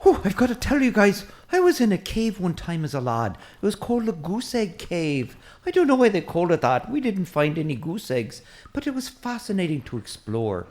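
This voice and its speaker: Woman playing an excitable, chatty Irish priest. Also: lowish voice, moderate pace, few pauses. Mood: rambling, curious. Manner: rambling, eager, easily distracted. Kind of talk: comic audio drama acting.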